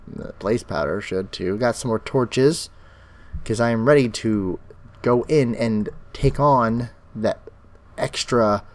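A young man hosting his own Minecraft let's play, chatting as he plays, his voice crackly and bass-heavy from illness. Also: slow pace. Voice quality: extra bass from an allergic reaction. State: sick, crackly voice. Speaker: gaming channel host.

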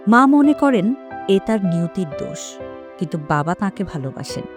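Woman presenter speaking over clear music. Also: mid-range voice, big pitch swings, normal speed. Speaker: presenter introducing an audio drama. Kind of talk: scripted introduction. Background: clear background music.

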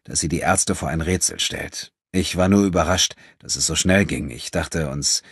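Earnest troubled father acted by a male reader, husky, restrained, dry sound, audiobook character. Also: low voice, normal speed, fairly bright tone, no room sound, heavily acted. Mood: placating.

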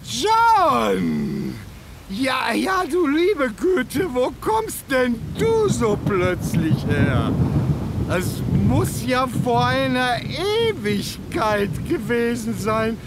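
Stammering, frightened drunk, loud background noise. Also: male voice, very high-pitched, sing-song, vocal fry, heavily acted. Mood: surprised. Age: middle-aged. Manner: stammering, halting, tipsy. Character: drunk, frightened ex-army doctor, middle-aged man. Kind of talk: German audio drama acting. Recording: loud street ambience noise.

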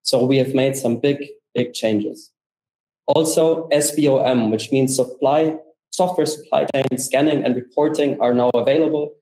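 A man's voice: mid-range voice, moderate pace, mellow, reverberant, low-quality recording. A young man, a company co-founder, giving an enthusiastic, conversational conference keynote with many fillers. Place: room with paintings on the walls.

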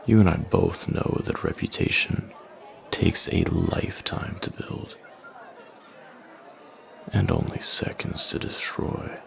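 A man playing a smug, menacing young male bully in an intimate audio roleplay, taunting with slow, deliberate threats in a deep, gravelly voice. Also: huge pitch swings, some chatter behind, a little hiss or hum, heavily acted. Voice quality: deep, gravelly, breathy at line ends.

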